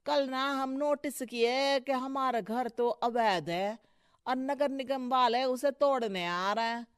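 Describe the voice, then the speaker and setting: Worried cartoon villager man, voiced by a woman, pleading, slow and dry. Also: high voice, clean tone, no echo.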